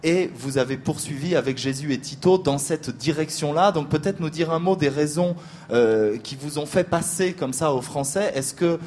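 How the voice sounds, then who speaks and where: A man hosting a literary discussion, introducing guests and asking measured questions with frequent hesitations. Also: a little high, darkish tone, a little hiss or hum. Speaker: host.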